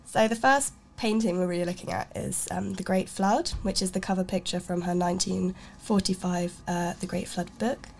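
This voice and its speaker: A woman's voice: on the low side, fairly even intonation, moderate pace, vocal fry, hardly any pauses, a little hiss or hum. A woman from Sweden hosting a casual art chat, chatty, with many fillers and restarts. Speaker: radio show host.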